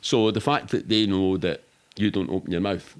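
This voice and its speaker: A man's voice: deep voice, moderate pace, clear, resonant tone. A middle-aged man with a Glaswegian accent, telling rambling, sweary, matter-of-fact anecdotes in casual interview chat. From Scotland. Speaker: podcast guest.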